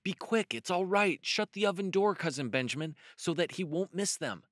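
A man voicing a bold, bossy young rabbit in a children's storybook, with an urgent, excitable, commanding manner. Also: sits high, normal speed, dry recording, studio quality, pitched above the performer's own voice. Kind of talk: children's storybook read-aloud.

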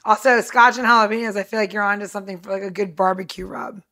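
A woman's voice: medium pitch, steady tone, fast talker, bright, forceful tone. A woman co-hosting a podcast, talking in a casual, chatty style. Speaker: podcast co-host. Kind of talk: casual chat.

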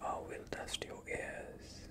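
A young man playing a gentle vampire doctor in an ASMR roleplay, whispering soothingly and reassuringly close to the microphone. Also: slow pace, natural voice. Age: young adult. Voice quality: breathy whisper. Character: gentle, attentive male vampire doctor calming a patient. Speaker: ASMR performer.

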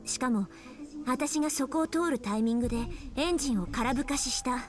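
A serious young soldier speaks in short, level, matter-of-fact sentences, voiced by a woman. Her voice is fairly high but firm. Game music runs quietly under her. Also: rapid-fire, close to an everyday voice.

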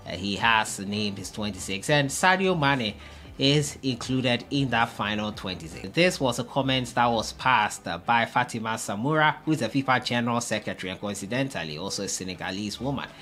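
A young man presenting football news to camera, in a steady reporting manner with frequent "uh" fillers. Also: mid-range voice, brisk. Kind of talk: solo news vlog to camera. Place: indoor room before a stone-pattern wall.

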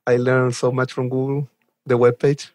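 A man with a Chilean Spanish accent talks casually as an interview guest. His voice sits at a medium pitch and his manner is enthusiastic. The sound is dry, with little room to it. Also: monotone, normal speed, a little mellow, no echo. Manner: enthusiastic, chuckles while talking. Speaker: guest, software developer advocate. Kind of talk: casual podcast interview chat.

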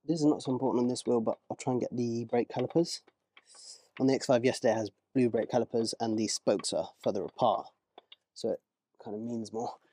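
A man in a casual vlog, talking through his car wheel cleaning outdoors in a relaxed, rambling way, with small self-corrections, his voice moving closer and farther from the camera. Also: mid-range voice, fairly quick, dry recording. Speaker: car rental host. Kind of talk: casual vlog narration while working.

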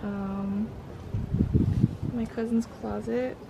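A young woman chatting casually and softly as the vlogger's sister. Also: on the high side, level tone, drawn-out words, gravelly. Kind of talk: casual chat. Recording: loud outdoor noise.

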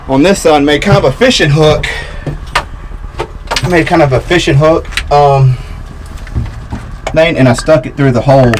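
A man's voice: medium pitch, a little hiss or hum, rough recording. Easygoing man explaining a boat job. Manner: relaxed, explaining step by step with fillers. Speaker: boat DIY vlogger. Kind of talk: casual how-to walkthrough. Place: outdoors on a boat in a backyard.

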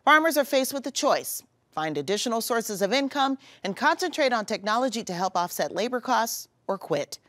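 A woman TV host delivering a scripted introduction in an animated, newsy way from a studio. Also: fairly high pitch, moderate pace, no echo. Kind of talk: scripted presentation.

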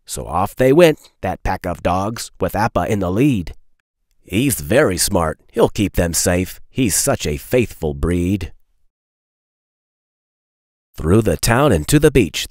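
A man reads a picture book to young children in a low voice. His delivery is playful and expressive, with big swings in intonation. The recording is close and dry. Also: unhurried, dry recording. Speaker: read-aloud host. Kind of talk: animated children's storybook reading.

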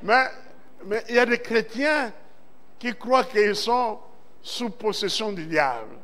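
A man's voice: high-pitched, expressive, slow pace, fairly bright tone. A male pastor from Central Congo preaching a Sunday sermon, reading scripture and stressing words with rising amens. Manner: preaching with emphatic swells and call-and-response amens. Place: church service.

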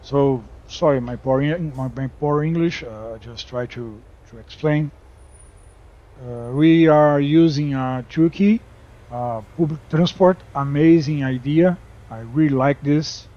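A Brazilian man with a Brazilian Portuguese accent, chatting casually over gameplay, halting, with many fillers and restarts. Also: a little high, muffled recording. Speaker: gaming YouTuber. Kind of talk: casual game commentary.

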